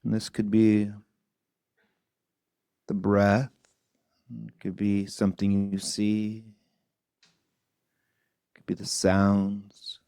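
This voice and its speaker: A man leading a guided meditation as a teacher, speaking softly and gently in short phrases with long, unhurried pauses. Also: on the low side, monotone, clean tone, no room sound, clean studio sound.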